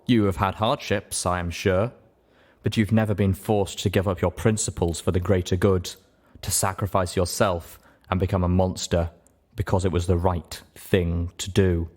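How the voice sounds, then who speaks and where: Man playing a low, solemn righteous tyrant. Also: little pitch movement, a little mellow. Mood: solemn, judging. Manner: solemn, measured, paternal. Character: calm, righteous male pony slave-empire leader. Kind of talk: acted audiobook dialogue.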